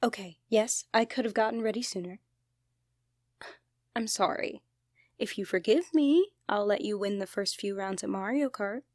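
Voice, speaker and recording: Woman acting casual, expressive young friend, close dry sound. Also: medium pitch, normal speed, no room sound, close to an everyday voice. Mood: pleading, apologetic. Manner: teasing, casual, expressive, apologetic. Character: playful, bantering, anxious young genderfluid best friend. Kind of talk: ASMR roleplay, one-sided conversational acting.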